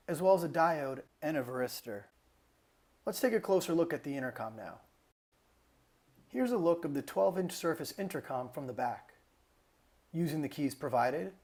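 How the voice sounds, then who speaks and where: A man reading scripted instructional narration as a technical trainer, explaining each step clearly. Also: on the high side, a little mellow.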